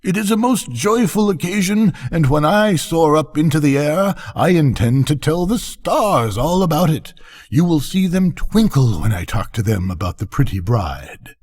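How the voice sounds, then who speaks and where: Small storybook fire balloon, done by an older man: raised swooping pitch, starry-eyed and cheerfully eager. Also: sing-song, moderate pace, darkish tone, in a large hall. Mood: joyful.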